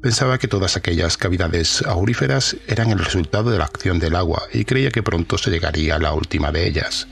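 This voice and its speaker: Male actor as aging, secretive ex-officer in an audio horror tale: deep, creaky, formal, measured, music beneath. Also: fairly bright tone, exaggerated voice. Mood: measured, reflective. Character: weary, secretive old former army officer recounting horror. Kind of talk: first-person audio horror storytelling. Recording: music under the voice.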